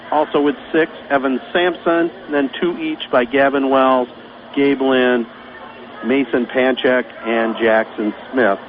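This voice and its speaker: A man with a Michigan accent calling high school basketball play-by-play on local radio, in a brisk running call of the action. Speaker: play-by-play announcer. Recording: tinny phone-line radio broadcast with noise.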